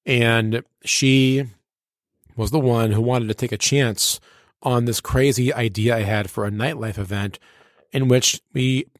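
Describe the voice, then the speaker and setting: A man's voice: mid-range voice, fairly even intonation, a little slow, dry recording, studio quality. A man who works as a radio personality and DJ, telling stories casually and colloquially with a showman's turn of phrase as an interview guest. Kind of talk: casual storytelling in a sit-down interview.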